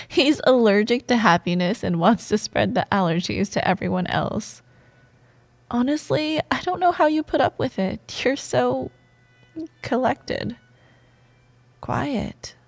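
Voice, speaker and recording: Woman acting a slow-paced, high-voiced sardonic office rival. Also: dry recording, heavily acted. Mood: reluctantly admiring. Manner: teasing, expressive, with sighs and dramatic pauses. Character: sardonic, bantering female office rival, secretly admiring and sentimental. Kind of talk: scripted romantic audio roleplay, ASMR.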